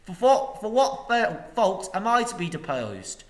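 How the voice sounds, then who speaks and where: A man voicing a pompous, self-serving older town master who makes loud, indignant speeches. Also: high voice, animated, moderate pace, voice pushed up.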